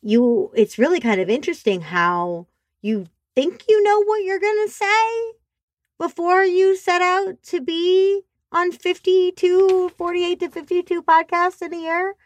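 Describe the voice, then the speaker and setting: A woman with a nasal voice talking casually on a podcast, expressive and animated as she tells stories. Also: very high voice, unhurried, no echo. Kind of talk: casual chat. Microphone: remote call.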